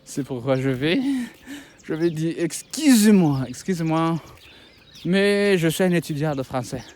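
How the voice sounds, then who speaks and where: A Rwandan man, a native Kinyarwanda speaker, talking to viewers as a content creator in a casual vlog, halting and pleading with many restarts. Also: a little high, lively intonation, darkish tone. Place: outdoors by a road with passing buses.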